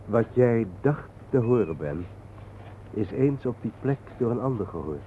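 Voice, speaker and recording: An actor playing a calm, cryptic guide who speaks in a measured, enigmatic way in a radio play. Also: male voice, medium pitch, close to an everyday voice. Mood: patient, cryptic. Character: calm, cryptic male guide named Hein, explaining mysteries. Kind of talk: Dutch radio play acting. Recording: noisy, narrow-band old radio recording.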